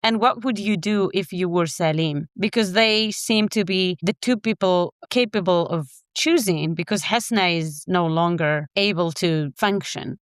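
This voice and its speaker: A woman from Morocco co-hosting a podcast, calmly discussing a short story. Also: on the low side, unhurried, hardly any pauses, smooth, no room sound, clean studio sound. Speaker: podcast co-host. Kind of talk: literary discussion.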